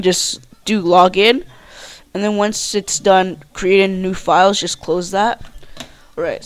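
Gaming tutorial host, mid-pitched voice, slow pace, informal, rambling, casual instructions. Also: clean tone. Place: indoors at a computer.

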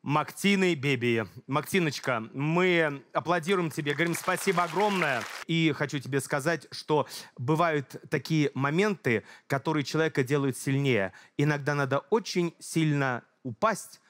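A man's voice: a little high, moderate pace, fairly bright tone. Emphatic male TV show host. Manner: emphatic, rising announcements. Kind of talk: TV show hosting.

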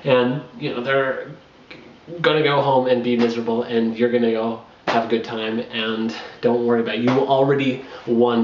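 A man's voice: mid-range voice, darkish tone, reverberant. A young man in his twenties chats advice to camera, full of restarts and filler words. His mid-range voice bounces around a small bare room. The camera audio is dull and lo-fi. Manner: rambling, frequent fillers and restarts, laughs between points. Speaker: travel blogger. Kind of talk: casual advice vlog. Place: small bedroom with bare wall. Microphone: camera on a makeshift tripod.

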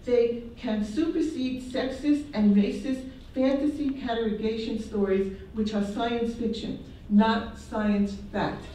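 A woman reading her academic paper aloud at a symposium in a university classroom, measured and careful, with a few restarts. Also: on the high side, fairly even intonation, dark tone, a little hiss or hum. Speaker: symposium presenter. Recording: echoey classroom from far off.

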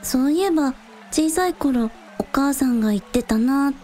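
A woman's voice: sits high, expressive, moderate pace, dark tone, faint music behind. A woman playing a casual, blunt young village idol in a radio drama, with breezy, slangy, matter-of-fact delivery. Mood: thoughtful. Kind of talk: Japanese radio drama acting.

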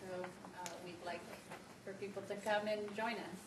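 A woman's voice: medium pitch, steady tone, moderate pace. A woman giving a short, polite committee report at a board meeting, slightly hesitant. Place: large conference room. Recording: distant mic, echoey room, background noise.

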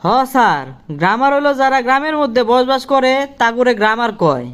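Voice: sits high, expressive, fairly quick, fairly bright tone, clean tone. A performer voicing a cheeky, literal-minded village job applicant in a comedy cartoon, with a Bangladeshi accent and eager, colloquial speech. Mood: earnest, cheeky. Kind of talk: comic cartoon dialogue.

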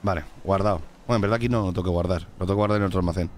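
A man's voice: low-pitched, very fast. A young man with a Spanish accent from Spain, streaming a crime roleplay game and chatting to his viewers in a loose, swearing way. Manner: animated, swearing, jumps between in-game talk and asides to viewers. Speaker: streamer roleplaying a bank robber. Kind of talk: casual gaming roleplay chat.

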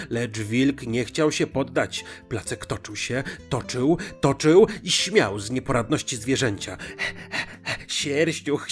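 A man narrating a scripted children's fairy tale in an expressive, dramatic way. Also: fairly low pitch. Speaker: storyteller. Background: music.

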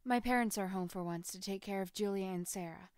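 Woman acting as teasing teenage girl, medium pitch, dry recording, sassy, caring, audiobook drama. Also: brisk, smooth, dry recording, lightly acted. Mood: casual.

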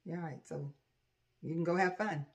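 Low-voiced mom chiming in. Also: female voice, moderate pace. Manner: short agreeing replies, chimes in. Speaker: co-host, the host's mother. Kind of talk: casual chat backing up the host. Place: home kitchen.

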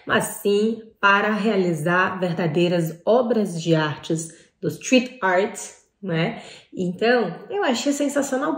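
Woman vlogger in an echoey room. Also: mid-range voice. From Paris, France. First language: French. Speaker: vlog host. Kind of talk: casual talk to camera. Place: small bright room indoors.

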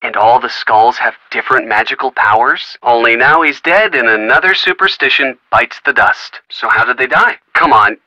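A man's voice: medium pitch, normal speed, hardly any pauses, pushed and bright, dry recording, low-quality recording. A man voicing a teasing, supportive young male friend talking on the phone in a video game. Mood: wry. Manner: teasing, wry, easygoing. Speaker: phone friend of the player character.

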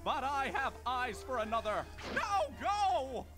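An actor voicing a cocky, hapless little cartoon hero in a parody dub, dramatic and easily exasperated. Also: male voice, extremely high pitch, very animated, takes it slowly, heavily acted. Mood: heroic. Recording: played clip with music behind.

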